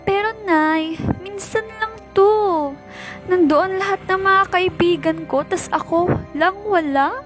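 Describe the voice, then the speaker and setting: Woman playing a high-pitched, defiant teenage daughter. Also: slow. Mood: pleading, defiant. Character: rebellious, resentful teenage daughter, defiant toward mother. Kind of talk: amateur Filipino radio drama acting. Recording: music bed behind dialogue.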